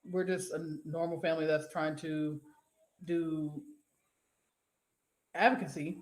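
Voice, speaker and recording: A woman's voice: low-pitched, little pitch movement, takes it slowly, a little mellow. A woman co-hosts a casual live-stream show. She reads viewer comments aloud and rambles between them, swearing freely and laughing easily. Her voice rings in an echoey room. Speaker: co-host.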